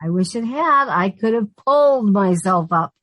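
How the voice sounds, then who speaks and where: A woman's voice: mid-range voice, lively intonation, moderate pace, a bit bright, no room sound, lightly acted. A woman playing a wry, long-suffering young city woman who narrates and wisecracks sardonically in a comic old-time radio play. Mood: dry, sarcastic.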